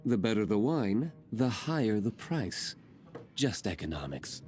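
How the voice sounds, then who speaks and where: A man's voice: fairly low pitch, very animated, unhurried, a little mellow, soft background music. An actor voicing a stern, aloof young nobleman in a video game, dry and reserved.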